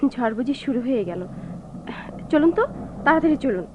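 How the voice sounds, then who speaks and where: Radio drama urgent, spirited young woman; very high lively voice, dull noisy tape. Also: moderate pace. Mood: anxious. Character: helpful, spirited young woman stranger, concerned, easily piqued. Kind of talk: Bengali radio play acting.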